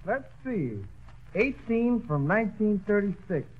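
A man's voice: high voice, big pitch swings, unhurried. A man guesting on a radio comedy, joking dryly. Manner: dry, joking. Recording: dull 1930s radio recording.

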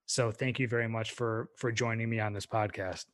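A man's voice: on the low side, fairly even intonation, moderate pace, a bit bright. Chatty male podcast host. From United States. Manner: friendly, many fillers and restarts. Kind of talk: casual interview chat.

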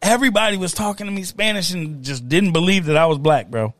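A man co-hosting a podcast, telling stories in a lively casual chat and laughing often. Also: sits high, fast talker, no room sound. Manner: animated storyteller, laughs a lot. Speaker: podcast co-host.

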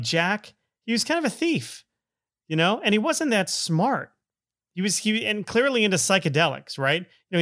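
A middle-aged man rambles through a podcast intro in a bright, slightly high voice. He jokes, laughs often and pokes fun at himself. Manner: joking, self-deprecating, laughs often. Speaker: podcast host.

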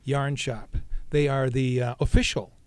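A man's voice: mid-range voice, steady tone, a little slow, smooth, no room sound. A man promoting a theater company on a radio show, hesitating with many fillers as he reads out dates and ticket details. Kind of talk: casual radio interview.

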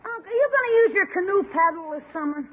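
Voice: extremely high pitch, lively intonation, fast talker, narrow, tinny audio. An actor playing an eager, sheepish schoolboy nephew in a 1950s radio comedy. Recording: noisy, thin old radio recording.

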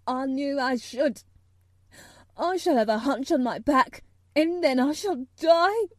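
A woman's voice: high-pitched, lively intonation, no room sound, clean studio sound, voice pushed up. A woman voicing a hysterical, frightened young boy from a storybook, crying and choking out the words in gasps. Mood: choked despair. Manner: gasping, choked, halting delivery.

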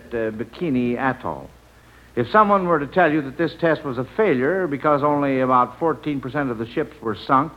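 Muffled old radio, higher-voiced American quizmaster man. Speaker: quiz show host. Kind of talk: old-time radio quiz hosting.

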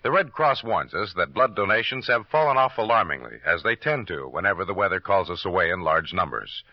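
A man's voice: low-pitched, very animated, fairly quick, no echo. A man announcing in formal, solemn 1950s radio style, reading scripted copy with emphasis. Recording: dull lo-fi old radio recording.

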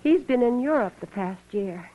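Betrayed woman in an old radio play, higher voice, hurt but firm, muffled sound. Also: expressive, normal speed. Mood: hurt, resigned. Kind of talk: 1940s radio drama acting. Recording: dull old radio recording.

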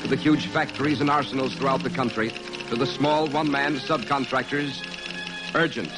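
A man's voice: medium pitch, fairly even intonation, fairly quick, poor audio. A man narrating in a solemn, dramatic 1940s radio style. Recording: old radio recording, loud music.

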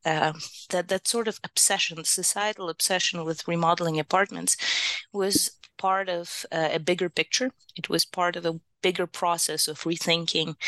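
A woman's voice: on the low side, a bit bright, no room sound. A woman in her thirties from Ukraine, answering interview questions thoughtfully with fillers and restarts. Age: 30s. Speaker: guest author, architectural historian.

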